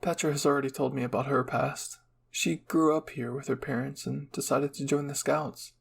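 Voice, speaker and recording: A man reading a first-person fan fiction story as soft, measured ASMR narration. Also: mid-range voice, steady tone, creaky, a little hiss or hum.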